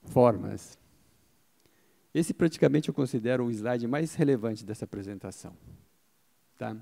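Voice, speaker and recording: Man, middle-aged, conference speaker, handheld mic, dark mellow tone, raspy edge. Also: medium pitch. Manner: explanatory, asks himself questions and answers them, frequent "tá?" tags. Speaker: speaker giving a marketing talk. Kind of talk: conference talk to a live audience. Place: event hall with a seated audience. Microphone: handheld microphone.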